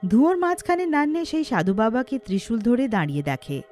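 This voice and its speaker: A woman's voice: a little high, sing-song, fairly quick, dark tone, soft background music. A woman narrating a scripted cartoon story in a dramatic storytelling style.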